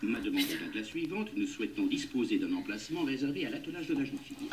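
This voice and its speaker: An actor playing a formal, courteous and particular gentleman agent in a French-dubbed cartoon drama. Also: mid-range voice, big pitch swings, fast, natural voice. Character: fussy, courteous gentleman agent for a wealthy father. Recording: distant, noisy old TV dub.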